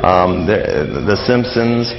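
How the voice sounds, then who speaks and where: A middle-aged man, explaining things as a guest in a casual radio interview, halting and rambling as he goes. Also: deep voice, animated, gravelly, dull audio. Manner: halting, rambling explanations peppered with "you know" and "like".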